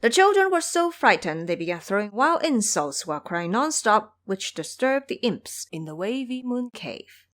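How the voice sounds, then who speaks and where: Animated woman narrating an audio drama. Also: mid-range voice, moderate pace, hardly any pauses.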